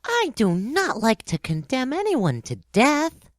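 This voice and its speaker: Woman as a little boy prince, polite, earnest, curious, very animated, dry close sound, storybook drama. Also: high voice, slow, no room sound, heavily acted. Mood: politely firm. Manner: earnest, childlike, questioning delivery.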